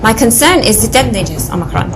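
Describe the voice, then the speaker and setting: An actress playing a proud, coolly confident scientist in an audio adventure drama, speaking with formal precision. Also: female voice, fairly high pitch, very animated, quick pace, noisy background. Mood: proud, explanatory.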